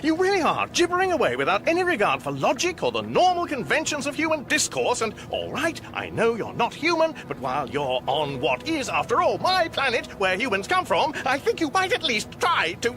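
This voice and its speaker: A man's voice: very high-pitched, huge pitch swings, a little fast, hardly any pauses, over music, full character voice. An actor playing a bewildered, fussy English everyman in a radio comedy, flustered and pedantic, with an English accent. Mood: indignant. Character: bewildered, fussy, indignant English everyman stranded among aliens. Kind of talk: radio comedy drama acting.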